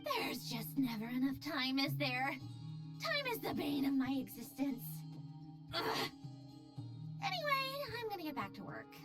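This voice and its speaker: An actress playing a brisk, grumbling engineer in a fantasy game, with a blunt, dry manner. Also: female voice, sits high, wildly swooping pitch, over loud music, exaggerated voice. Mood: exasperated.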